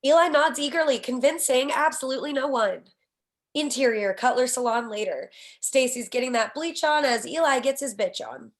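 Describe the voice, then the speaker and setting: A woman's voice: high voice, expressive, moderate pace. A woman reading the scene headings and action lines of a screenplay as the narrator at a table read. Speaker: stage directions narrator.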